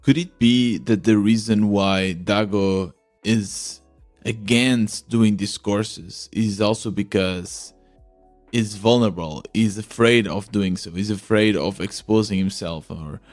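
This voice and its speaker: Low-pitched man hosting a reflective podcast. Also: a little mellow. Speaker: podcast host. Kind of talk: reflective first-person narration.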